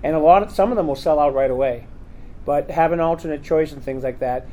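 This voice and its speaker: A man's voice: a little high, quick pace, warm tone. A man hosting a convention panel, talking casually and rambling with lots of fillers. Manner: rambling, chatty, full of fillers. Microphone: recorder in the room.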